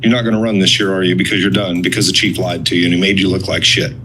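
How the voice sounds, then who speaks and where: A man's voice: low voice, level tone, fast talker, noisy background. A blunt, sarcastic man giving confrontational public comment at a meeting, swearing and accusing.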